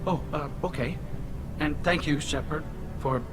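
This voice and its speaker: A man's voice: fairly high pitch, a little slow, music in the background, lightly acted. An actor playing a nervous, earnest young male alien in a video game, speaking hesitantly. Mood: bashful, awkward. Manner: hesitant, stammering.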